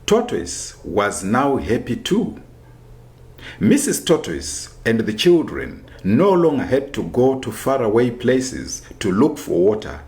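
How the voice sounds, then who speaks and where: A man reading a scripted folktale as a storyteller, in a deliberate, expressive manner. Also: fairly low pitch.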